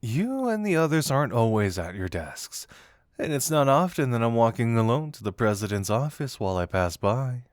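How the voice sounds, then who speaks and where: A man plays a flirtatious male detective in a romantic audio roleplay, confessing as a secret admirer. His delivery is lively and swooping, teasing but earnest, with shy hesitations. The recording is close and dry. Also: medium pitch, dry recording, close to an everyday voice. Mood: playful reasoning.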